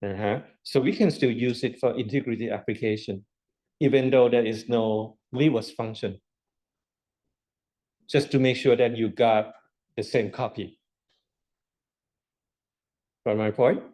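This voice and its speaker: A man's voice: mid-range voice, fairly even intonation, measured pace, pauses often, dark tone. A man lecturing a university class, explaining step by step with rhetorical questions and repetition. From Thailand.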